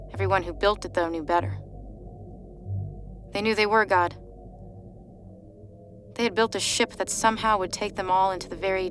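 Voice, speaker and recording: A woman of middling pitch reads a scripted story in a steady, measured way, with a dry ironic edge. Faint music sits quietly beneath her voice. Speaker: narrator.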